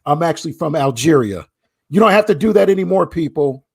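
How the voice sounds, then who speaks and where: A man's voice: on the high side, expressive, fairly quick, gravelly, slightly rough. A man co-hosting a live talk show, teasing his guests in a playful way. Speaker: co-host. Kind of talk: live casual chat.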